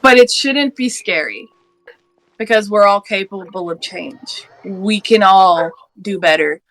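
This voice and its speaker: A woman's voice: mid-range voice, a little slow, fairly bright tone, low-quality recording. A woman in her late thirties from Georgia, talking earnestly and passionately in a casual panel discussion, laughing now and then. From Georgia, US Deep South. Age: 30s.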